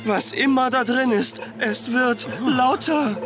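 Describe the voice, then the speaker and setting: Casting: soft-hearted young cartoon fireman, earnest, high voice, slow delivery. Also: music in the background. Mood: uneasy. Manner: earnest, emotional. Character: soft-hearted, naive young male firefighter. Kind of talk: children's animated series dub.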